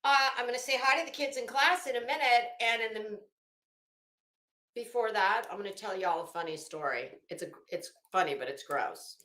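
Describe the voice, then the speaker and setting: High, bright-voiced woman rambling on a livestream. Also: normal speed. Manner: rambling, self-interrupting, searching for words; dramatic, emphatic storytelling with self-quoting. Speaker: livestream host. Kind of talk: casual livestream chat. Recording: roomy, echoing room sound.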